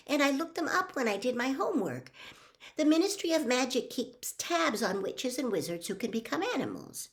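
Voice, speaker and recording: A woman voicing an earnest teenage schoolgirl witch for an audiobook, pleading and precise in a trembling, would-be calm voice. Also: high-pitched, voice pushed up.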